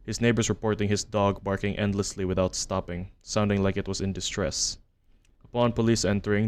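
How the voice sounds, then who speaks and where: A man narrating a horror commentary as a video essayist, measured and matter-of-fact, reading from a script. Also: on the low side, a little mellow, smooth. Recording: music under the voice-over. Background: background music.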